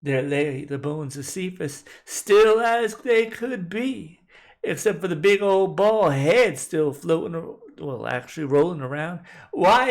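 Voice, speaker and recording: A man's voice: high voice, vocal fry. A man narrating a folk tale as host, in a folksy, colloquial way. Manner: folksy, colloquial Southern-style phrasing. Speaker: storyteller, host.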